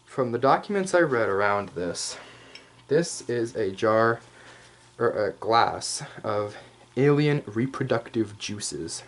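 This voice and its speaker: A man's voice: mid-range voice, lively intonation, slow. A man doing an improvised, casual ASMR roleplay show-and-tell, rambling with jokey asides and swearing. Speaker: ASMR roleplay host showing props.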